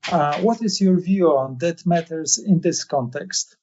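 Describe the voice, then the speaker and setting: A male interviewer speaks very slowly, pausing on "uh" between phrases as he reads prepared questions. His voice sits fairly high, and the audio is dull and lo-fi. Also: a little mellow. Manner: halting, with many "uh" pauses.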